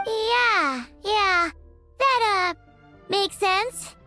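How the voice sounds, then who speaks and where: Tiny cheeky game fairy: piercingly bright, childlike, very high. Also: female voice, drawn-out words, soft background music, heavily acted. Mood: hesitant agreement. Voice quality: squeaky. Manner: childlike, third-person, exclamatory. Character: tiny excitable floating fairy girl companion, childlike and cheeky. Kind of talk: anime game voice acting.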